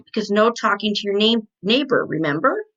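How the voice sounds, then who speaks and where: A woman's voice: medium pitch, sing-song, a little fast, a bit bright, muffled recording. A woman voicing a chatty, indignant kindergarten girl from a storybook, with emphatic childish phrasing. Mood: indignant, excited.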